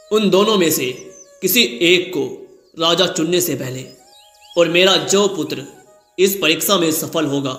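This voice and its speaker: A man playing an elderly, fatherly king in a cartoon story, speaking in a measured, formal and grave manner. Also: fairly high pitch, sharp tone, echoey room. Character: old male king, fatherly, deliberate and grave.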